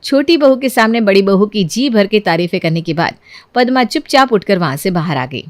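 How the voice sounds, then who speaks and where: A woman's voice: medium pitch, animated, moderate pace, no echo. A woman narrating a scripted family story as a dramatized storyteller.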